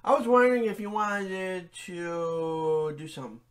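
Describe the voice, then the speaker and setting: A man's voice: high voice, expressive, a little slow. A man in his thirties making a prank phone call from a small bedroom. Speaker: prank caller.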